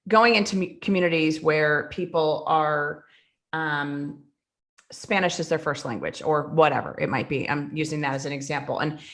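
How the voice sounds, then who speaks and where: A woman's voice: low-pitched, moderate pace. Woman co-host steering the talk. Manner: explains with examples, steers the topic along. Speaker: podcast co-host. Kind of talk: casual conversation.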